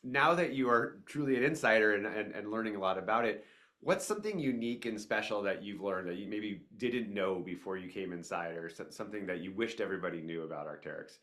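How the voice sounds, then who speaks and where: A man's voice: fairly low pitch, animated, fast, fairly bright tone. A man hosting an interview, asking questions casually and often checking in with "right?". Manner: encouraging, asks leading questions ending in "right?". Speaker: interview host.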